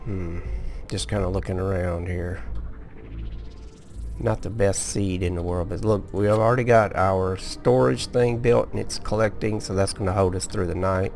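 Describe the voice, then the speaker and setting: Sleepy low-voiced man narrating gameplay. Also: normal speed, vocal fry, faint music behind. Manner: relaxed, talks through each step with restarts. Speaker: gaming video host. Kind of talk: casual let's-play commentary.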